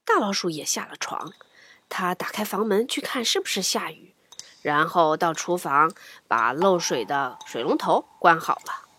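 Animated woman telling a children's story. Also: fairly low pitch, moderate pace, breathy edge. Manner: playful, dramatic, often dropping to whispers. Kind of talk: expressive picture-book narration.

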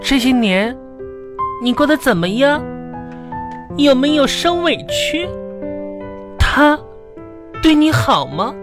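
A woman's voice: high voice, very animated, slow pace, long pauses, music in the background, full character voice. A woman playing a vain, melodramatic young woman in a radio comedy, with a Northeastern Chinese accent. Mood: mock wistful. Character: melodramatic, vain, self-proclaimed delicate young woman, chatty and comic. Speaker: radio comedy host and performer. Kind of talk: comedic radio play acting.

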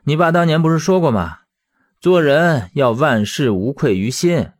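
A man's voice: medium pitch, lively intonation, moderate pace, darkish tone, close to an everyday voice. A man voicing a principled, put-upon schoolteacher in his twenties for an audiobook, resigned and placating in manner. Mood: consoling. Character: resigned, principled 27-year-old male schoolteacher, kind but put-upon.

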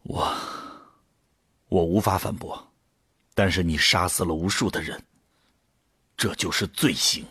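A man playing a brooding volunteer who narrates his own story in a measured, solemn audio drama style. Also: sits low, a bit bright, slightly rough, no echo, clean studio sound, heavily acted. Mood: grave. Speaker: narrator and lead actor. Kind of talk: scripted first-person drama narration. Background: music.

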